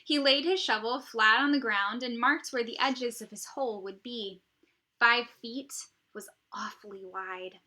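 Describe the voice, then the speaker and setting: A woman teacher reading a children's novel aloud, with expressive, emphatic phrasing and dramatic pauses. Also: a little high.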